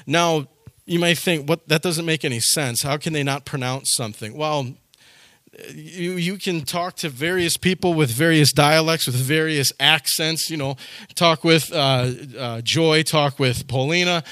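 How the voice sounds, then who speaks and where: A pastor delivering a sermon in a relaxed, chatty manner, often repeating words as he gathers his thoughts. His voice sits a little high for a man. The sound is dry, with little room echo. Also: unhurried. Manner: conversational, folksy, with frequent repetitions and asides.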